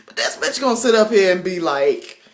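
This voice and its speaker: A woman's voice: mid-range voice, expressive, normal speed. Chatty Southern woman with a drawl. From South Carolina. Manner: chatty, laughs often, swears freely, lots of ums. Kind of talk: casual vlog chat while cooking. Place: home kitchen.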